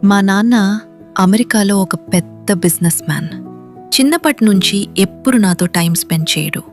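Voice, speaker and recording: Woman doing a rich lonely girl in love for a cartoon tale, middle pitch. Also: animated, moderate pace, faint music behind, close to an everyday voice. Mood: wistful. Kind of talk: cartoon story dialogue.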